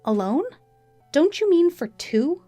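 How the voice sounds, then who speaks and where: A betrayed wife, played by a woman, scornful and sarcastic in a narrated story. Her high voice moves up and down forcefully, close and dry over music. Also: very animated, slow, dry recording, exaggerated voice. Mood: cold.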